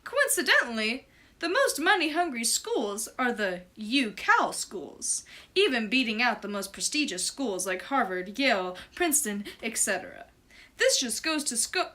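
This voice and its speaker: A woman's voice: a little high, sharp tone, heavily acted. A woman voicing an earnest, opinionated male puppet pundit in a scripted explainer show, speaking with emphasis.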